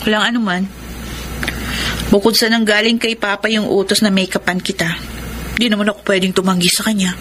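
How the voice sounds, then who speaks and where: A woman's voice: medium pitch, level tone, brisk, pauses often, close to an everyday voice. A woman playing a guarded but dutiful grown stepdaughter in a Filipino radio soap, matter-of-fact, with a Filipino accent. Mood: guarded, sincere. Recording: noisy radio drama with ambience.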